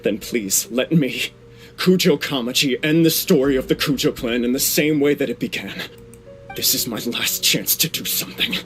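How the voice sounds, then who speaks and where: A man's voice: medium pitch, moderate pace, a bit bright, faint music behind, close to an everyday voice. A man voicing an earnest young noble heir. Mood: resolute. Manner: earnest, resolute. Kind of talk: game cutscene voice acting.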